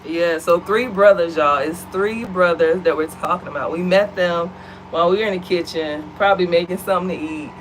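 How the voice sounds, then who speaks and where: A woman's voice: fairly low pitch, a little fast, slight background noise, poor audio. An American woman co-hosting a home vlog, chatting animatedly and addressing the viewers as y'all. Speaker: vlog co-host. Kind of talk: casual chat to viewers. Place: at home, seated.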